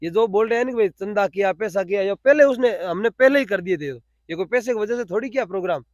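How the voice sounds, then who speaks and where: High-pitched Rajasthani man, dry sound. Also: animated, fast, no room sound. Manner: repeats phrases for emphasis. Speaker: interviewee, cow shelter volunteer. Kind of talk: spontaneous explanatory interview answers.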